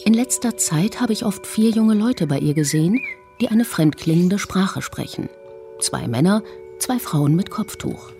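A woman narrating a radio documentary in a calm, measured first-person voice. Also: medium pitch, soft background music. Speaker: feature author and narrator.

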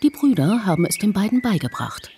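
A woman narrating a radio documentary in a calm, measured first-person voice. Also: mid-range voice, a little hiss or hum. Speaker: feature author and narrator.

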